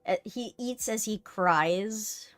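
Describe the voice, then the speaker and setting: A woman's voice: medium pitch, takes it slowly. A woman talking casually and teasingly as she hosts a gaming live stream. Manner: playful, teasing. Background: music.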